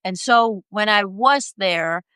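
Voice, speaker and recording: A middle-aged woman from Ukraine, an independent journalist interviewed on a video call, talking in a halting way with many fillers. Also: mid-range voice, sharp tone, smooth, no room sound. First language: Ukrainian or Russian. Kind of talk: interview conversation.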